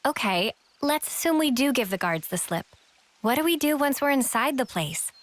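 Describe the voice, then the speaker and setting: High-voiced, feisty young soldier. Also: female voice, expressive, moderate pace. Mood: skeptical. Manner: slangy, brusque. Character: blunt, feisty young female healer soldier. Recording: loud music behind.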